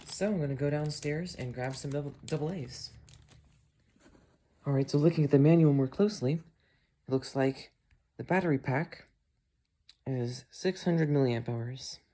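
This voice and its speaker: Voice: medium pitch, unhurried, pauses often, dark tone. A hobbyist talking casually through a hands-on product demo, thinking aloud in a musing, matter-of-fact way. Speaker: amateur video maker, radio hobbyist.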